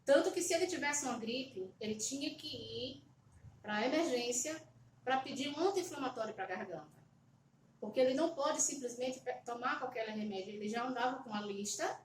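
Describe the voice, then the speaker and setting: A woman's voice: on the high side, normal speed. A middle-aged Brazilian woman telling a personal story to camera from her home, hesitant and repeating words with many fillers. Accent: Brazilian Portuguese. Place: home, at a table. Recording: roomy echo, slightly distant mic, faint background hum.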